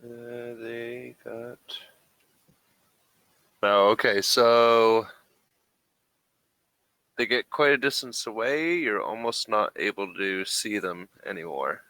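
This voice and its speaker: A man acting as game master in a tabletop role-playing game, talking casually and somewhat haltingly. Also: mid-range voice, pushed and bright, no echo, poor audio.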